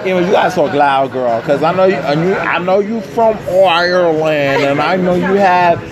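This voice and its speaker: A man with a New York accent hosting a casual podcast interview in a bar, loud and slangy, calling his guest "girl.". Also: high voice, normal speed. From Dominican Republic. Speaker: podcast host. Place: busy bar. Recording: loud bar with music and crowd. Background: loud music and crowd.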